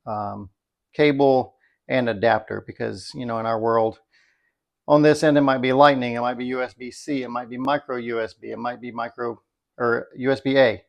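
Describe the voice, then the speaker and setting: A man's voice: mid-range voice, vocal fry, highs cut off. A middle-aged man giving a casual, unscripted product review, thinking aloud in a folksy, easygoing way. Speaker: product reviewer, video host.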